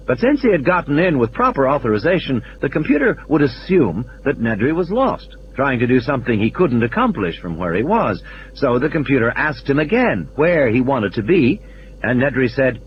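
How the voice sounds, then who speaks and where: A man's voice: mid-range voice, muffled recording, a little hiss or hum. A man reading scripted dramatic narration as the narrator of an audio play. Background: faint ambient sound effects.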